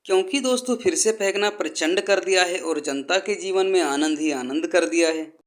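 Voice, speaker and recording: A man's voice: high-pitched, moderate pace, over loud music. A man delivering scripted satirical narration as a video host, mockingly addressing viewers as his friends.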